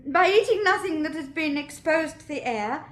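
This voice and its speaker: A woman's voice: high-pitched, expressive, normal speed, fairly bright tone. An actress playing an earnest, practical nurse, speaking carefully and a little hesitantly as she gives instructions in a radio drama. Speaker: nurse addressing a meeting.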